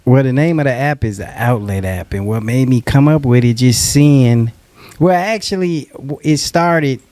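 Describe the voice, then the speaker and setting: A man of middle pitch is a guest on a local TV interview. He tells his story in a loose, rambling way with plenty of fillers. The sound is dry and close. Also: moderate pace, warm tone, no room sound. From Illinois. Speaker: app founder, guest. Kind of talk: casual interview.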